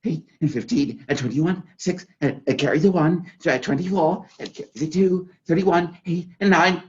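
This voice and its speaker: A man's voice: fairly high pitch, moderate pace, close to an everyday voice. A man doing a timid stammering clerk. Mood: nervous. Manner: mumbling, stammering. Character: timid, apologetic male clerk. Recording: dull lo-fi recording.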